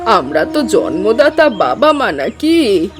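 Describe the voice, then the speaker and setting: A woman voicing an aging rural mother who slowly complains about her grown son. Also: mid-range voice, sing-song. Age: older. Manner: slow, complaining. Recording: voice over music.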